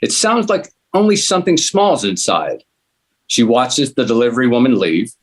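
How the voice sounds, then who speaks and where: A man's voice: medium pitch, very animated, normal speed. A man reading a script's narration and stage directions aloud at a table read.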